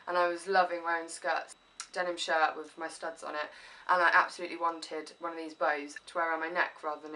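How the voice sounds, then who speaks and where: A woman's voice: on the low side, sharp tone, a little hiss or hum. A chatty woman vlogging with a stuffy, sniffly head cold, rambling enthusiastically. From England. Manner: rambling, enthusiastic, self-deprecating. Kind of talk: casual chatty haul video. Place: room at home. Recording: voice a little distant from the mic.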